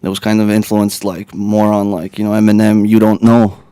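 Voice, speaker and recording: A man's voice: on the low side, monotone, brisk, dark tone, clean tone, dry recording. A young man from Latvia, a guest rapper chatting in a radio studio, rambling and laid-back with lots of fillers. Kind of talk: casual interview chat.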